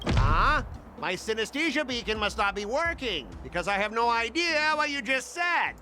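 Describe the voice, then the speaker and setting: A man's voice: extremely high pitch, big pitch swings, moderate pace, pushed and bright, a little hiss or hum, heavily acted. An actor playing a blustering male cargo company worker, flustered and defensive, in game voice acting.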